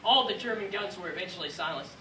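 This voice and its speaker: High, bright dutiful paratrooper, played by a man. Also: lively intonation, fast talker. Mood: sober, matter-of-fact. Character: male American WWII paratrooper, dutiful veteran recounting combat. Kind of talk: rehearsed dramatic war narration. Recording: echoey room from far off, faint noise.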